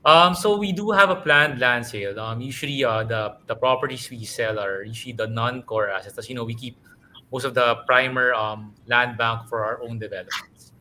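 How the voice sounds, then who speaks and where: A man's voice: medium pitch, normal speed, bright, forceful tone. A man answering investor questions as a company executive. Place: virtual call. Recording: noisy virtual call audio.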